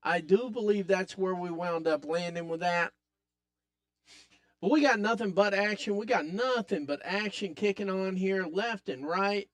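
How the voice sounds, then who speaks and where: A man with a raspy voice hosting a live wrestling watch-along podcast, excitedly calling the action and chatting with viewers. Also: high voice, unhurried. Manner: excitable, hypes up the action, talks to chat. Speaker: podcast host.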